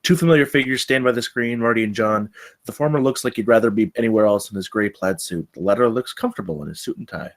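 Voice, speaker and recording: Quick-reading male script narrator. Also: fairly low pitch. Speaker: narrator reading stage directions. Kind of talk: scripted narration at a table read.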